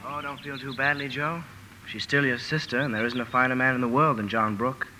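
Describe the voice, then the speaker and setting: A man's voice: medium pitch, steady tone, fairly quick, bright, forceful tone, faint music behind, close to an everyday voice, rough recording. An actor playing an ardent, impetuous young man in love, emphatic and pleading, in a 1950s radio drama. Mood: tender.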